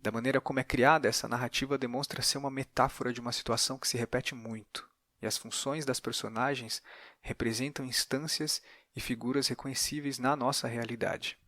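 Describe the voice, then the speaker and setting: A man's voice: mid-range voice, fairly even intonation, fairly quick, bright, forceful tone, no echo, studio quality. A man with a Brazilian accent hosting an interview podcast, moving between narrated summaries and conversational questions.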